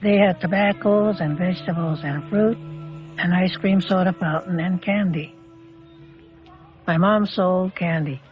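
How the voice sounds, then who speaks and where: Older Canadian man reminiscing, higher-pitched voice. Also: steady tone, measured pace, muffled recording, soft background music. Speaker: interviewee recalling childhood. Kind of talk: informal reminiscence.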